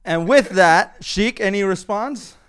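A man's voice: sits high, slow pace, over loud music. A man hosting a podcast, chatting loosely and rambling with frequent laughs. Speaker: podcast host. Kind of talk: casual podcast chat.

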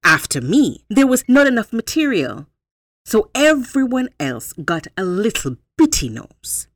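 Folktale elephant, acted by a woman: proud, emphatic, drawn-out delivery, animated intonation. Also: on the high side, no room sound, voice pushed up. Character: proud, boastful elephant with a long nose.

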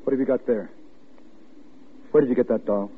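A man's voice: medium pitch, a little fast, phone-quality sound, lightly acted. An actor playing a stern, exasperated father in a 1950s radio drama. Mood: angry, exasperated. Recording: thin, noisy old-time radio recording.

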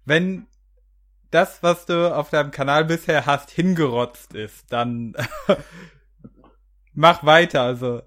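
A man takes part in an informal podcast chat. His voice sits somewhat high for a man. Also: slow pace, pauses often, fairly bright tone. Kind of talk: casual group podcast chat.

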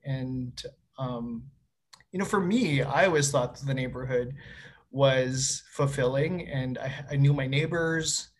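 A man's voice: mid-range voice, a little slow, slight background noise. A young man from Winnipeg co-hosting a casual conversation, upbeat and encouraging. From Winnipeg, Canada. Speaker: co-host. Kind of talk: casual conversation over video call.

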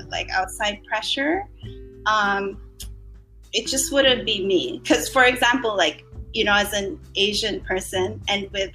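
Woman in her 30s from the philippines chatting on a podcast, lots of um and like, bright tone. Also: medium pitch, poor audio. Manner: reflective, many fillers and hedges. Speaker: podcast guest. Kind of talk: casual conversation.

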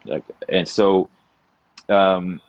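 A man's voice: deep voice, drawn-out words, no echo. A man in his forties chatting casually as a podcast guest, in a rambling, informal way. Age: 40s. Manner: informal, peppered with "man" and "you know". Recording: dull lo-fi audio.

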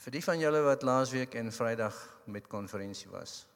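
A man's voice: mid-range voice, fairly quick. A man whose first language is Afrikaans, with a South African accent, giving a joking church talk. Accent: South African English. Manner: joking, relaxed. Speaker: guest speaker at church. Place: church hall. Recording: long hall reverb.

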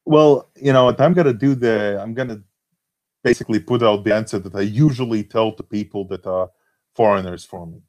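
A man's voice: on the low side, a little fast, a little mellow. A man from Latvia with a Latvian accent, talking casually and hesitantly with many fillers and restarts. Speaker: forum member being interviewed. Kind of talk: casual conversation.

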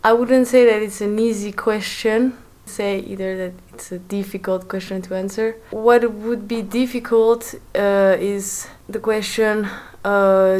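A woman who grew up in France, answering an interviewer in a measured, thoughtful way. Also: mid-range voice, darkish tone.

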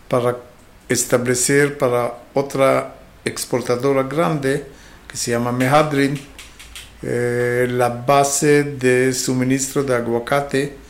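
Older-ish israeli guy as podcast guest, israeli accent, slow and halting, medium pitch. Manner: halting, searching for words in a second language. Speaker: interview guest, fruit export marketing specialist. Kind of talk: conversational interview answers.

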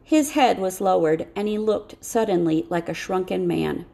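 Creaky-voiced woman narrating an audiobook. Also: lowish voice, moderate pace, mellow. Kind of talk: scripted first-person story narration.

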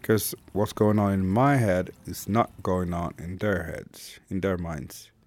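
A man's voice: deep voice, unhurried, a little mellow. A man in his thirties with a Swedish accent, hosting a candid, reflective monologue podcast and swearing casually. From Sweden. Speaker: podcast host.

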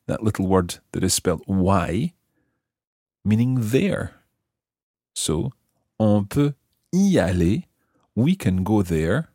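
A man hosting a language lesson, explaining example phrases piece by piece with careful, measured articulation. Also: lowish voice, mellow, no echo, studio quality. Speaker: language teacher and host.

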